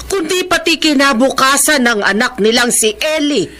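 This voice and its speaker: A woman's voice: high-pitched, animated, moderate pace, sharp tone. A woman playing a stern, upset mother admonishing her grown son in a melodrama, with emphatic delivery. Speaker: actor in a drama. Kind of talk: melodramatic drama acting.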